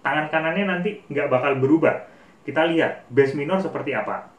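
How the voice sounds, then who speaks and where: A man's voice: fairly high pitch, brisk. A man giving a casual, informal piano tutorial as a teacher who demonstrates as he talks. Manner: informal, demonstrative, often counting out notes. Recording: roomy, distant mic, loud piano music.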